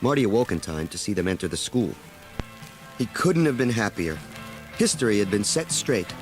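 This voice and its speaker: A man with a fairly low voice narrates a children's storybook. The delivery is scripted read-along storytelling, with music clearly playing underneath. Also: very animated, moderate pace, poor audio.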